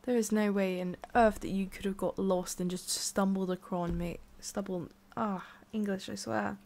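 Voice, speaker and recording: A woman playing a haughty, prickly witch with a secret soft side in an ASMR roleplay, soft-spoken and halting, slipping into whispery breaths. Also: mid-range voice, fairly even intonation, vocal fry, close to an everyday voice. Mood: condescending, prying.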